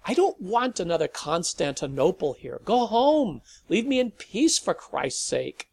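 A man voicing a gruff, exasperated man in a storybook reading. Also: mid-range voice, normal speed. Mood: ranting. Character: gruff irritable man, plaza owner.

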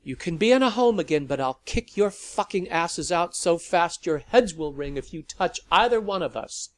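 A man's voice: high-pitched, animated, normal speed, voice pushed up. A man voicing a young woman who snaps back defiantly at a crowd of vampires. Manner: sharp, snapping. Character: defiant young woman standing her ground.

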